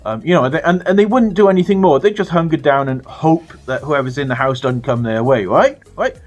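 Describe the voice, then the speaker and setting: A British man telling a funny story to his viewers in a casual, animated way, swearing freely. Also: a little high, brisk, faint music behind. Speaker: storytelling vlogger.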